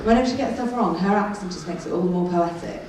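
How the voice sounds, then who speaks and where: A woman's voice: fast talker, background noise. A woman from Wales with a light Welsh-English accent, performing a chatty, self-mocking stand-up comedy set. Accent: mild North Welsh-English. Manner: chatty, self-mocking, bursts of shrill put-on voices. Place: large concert hall on stage. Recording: echoey concert hall, taped from far off. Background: laughing crowd.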